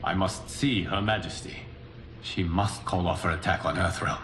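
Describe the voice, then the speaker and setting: Low-voiced, solemn guardian lord. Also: male voice, steady tone, a bit bright, slight background noise. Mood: solemn, determined. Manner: measured, solemn, formal. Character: grave, noble lord, godlike guardian of time. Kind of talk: dramatic video game cutscene acting.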